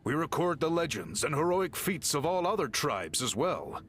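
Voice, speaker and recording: Calm serious tribe chief in a game, formal and patient, voice moves up and down a lot. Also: male voice, a little high, a little hiss or hum. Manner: formal, measured, explaining patiently. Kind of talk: game voice acting.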